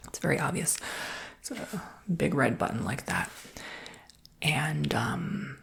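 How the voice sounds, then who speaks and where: Woman doing asmr roleplay, breathy whisper, low creaky voice, casual reassuring salesperson talk. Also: lively intonation, slow pace, a bit bright.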